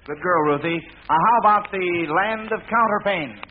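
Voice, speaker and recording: A man's voice: high voice, normal speed. An American man hosting a 1940s radio quiz in a folksy, hesitant, chatty manner, on a noisy, tinny old broadcast. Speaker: quiz show host.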